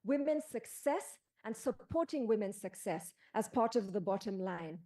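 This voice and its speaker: A woman from Kenya with a Kenyan accent, speaking as a panelist and advisor in a formal, measured, emphatic speech. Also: medium pitch, animated, mellow.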